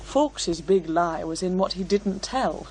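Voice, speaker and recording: A woman's voice: lowish voice, expressive, measured pace, a little mellow, slight background noise. An actress playing a cool, bitter woman in a radio drama, with a disdainful, articulate delivery. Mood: bitter, emphatic. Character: cool, bitter, scornful woman, sharp-tongued witness. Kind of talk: naturalistic radio drama dialogue.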